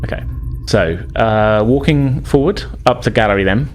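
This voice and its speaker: A man's voice: fairly low pitch, moderate pace. A man narrating a tabletop fantasy game as game master, conversational and hesitant, rephrasing his descriptions as he goes.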